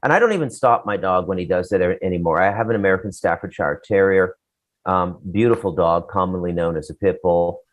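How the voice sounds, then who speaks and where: A man's voice: sits low, fairly quick. A man co-hosting a casual talk show, giving opinions in a rambling, blunt, profane way. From Canada.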